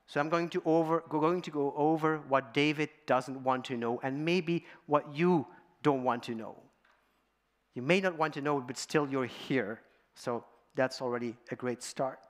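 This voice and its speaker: Man giving a conference talk. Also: medium pitch. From Belgium. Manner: storytelling, audience-addressing, with fillers. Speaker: guest speaker. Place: large dark auditorium.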